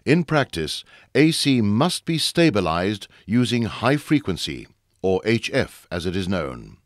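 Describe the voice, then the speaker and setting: Deep-voiced male instructional narrator, very lively intonation. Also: dry recording, studio quality. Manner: measured, formal explaining. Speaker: narrator of a training video. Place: close, dry studio voice-over.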